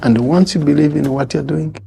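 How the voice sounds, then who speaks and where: A man who grew up as a refugee, telling his story in an interview, halting with many fillers and pauses. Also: a little high, fairly even intonation, gravelly. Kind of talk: interview testimony.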